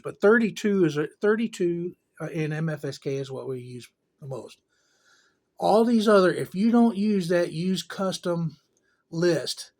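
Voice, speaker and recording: A man's voice: on the high side, a little mellow. A man giving a casual hands-on tech tutorial in a folksy, rambling way with informal grammar. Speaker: tutorial presenter.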